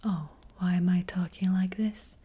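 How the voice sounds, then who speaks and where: A woman playing a gentle, hesitant witch fortune teller in an ASMR roleplay, speaking in a breathy, hushed whisper. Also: on the low side, steady tone, lightly acted. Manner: slow, hushed, hesitant, unhurried. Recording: close-up with faint background noise.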